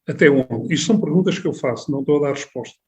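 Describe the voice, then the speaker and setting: Portuguese guy from the alentejo, alentejo accent, rambling radio guest, keeps restarting, voice a bit high. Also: racing. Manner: discursive, many restarts and rhetorical tags. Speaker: regional tourism board president, guest. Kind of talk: radio interview. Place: radio studio.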